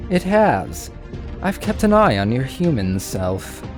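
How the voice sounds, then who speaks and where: A man's voice: mid-range voice, sing-song, takes it slowly, over music, pitched above the performer's own voice. A man voicing a petulant, teasing, all-powerful male trickster being in a story. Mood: knowing. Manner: petulant, mocking.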